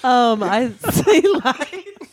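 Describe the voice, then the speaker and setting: A woman guesting on a podcast, chatting casually in a kitchen, halting and giggling as she searches for words. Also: high-pitched, very animated, crowd noise. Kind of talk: casual chat. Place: rental kitchen.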